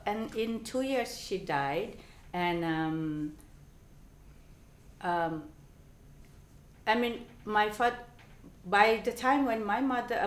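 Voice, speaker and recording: A woman with a Bangladeshi accent speaks slowly and carefully, pausing on ums and restarting her sentences as she recalls her family. The room around her gives her voice a noticeable echo. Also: medium pitch. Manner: halting, many ums and restarts. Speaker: interviewee. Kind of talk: oral history interview.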